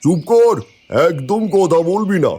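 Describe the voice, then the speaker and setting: A man's voice: high-pitched, lively intonation, unhurried, warm tone, husky, exaggerated voice. A man voicing a stern, authoritative village landlord in a cartoon, with a commanding, scolding manner. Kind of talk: cartoon voice acting.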